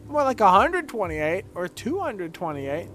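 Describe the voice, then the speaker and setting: A man's voice: high voice, big pitch swings, a little slow, a bit bright, faint music behind, pitched above the performer's own voice, heavily acted. A man voicing a bratty, mocking child who guards a playground world, with singsong teasing.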